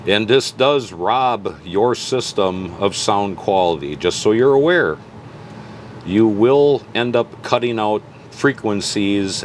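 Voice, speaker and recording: A man with a deep voice talks through a how-to at an unhurried, slow pace. He is plainspoken and folksy, like an informal tutorial host. A light background hum sits under the recording. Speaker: host of a car audio tutorial channel.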